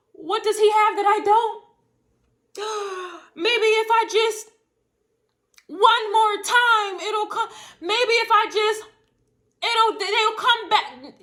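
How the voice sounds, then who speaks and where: A woman's voice: very high voice, slow pace, long pauses, bright, forceful tone, voice pushed up, exaggerated voice. A woman voicing a jealous woman who gasps and pleads as she pines for her ex. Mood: desperate, whiny. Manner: breathless gasps and whiny pleading.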